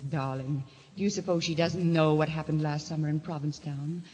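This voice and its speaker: Actress as a domineering mistress, muffled and reverberant. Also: female voice, deep voice, fairly even intonation, fairly quick, a little mellow, a little hiss or hum, natural voice. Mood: seductive. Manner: arch, seductive, commanding. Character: sophisticated, domineering mistress and painter, coolly murderous. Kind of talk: old-time radio mystery drama acting. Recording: muffled, reverberant vintage radio recording.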